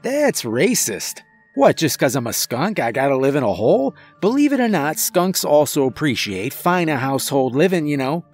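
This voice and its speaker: A man's voice: on the high side, very animated, normal speed, breathy edge, soft background music. A man voicing a rude, sarcastic, indignant male skunk with a heavy accent and a brash wise-guy manner, in an audiobook. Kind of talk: audiobook character voice.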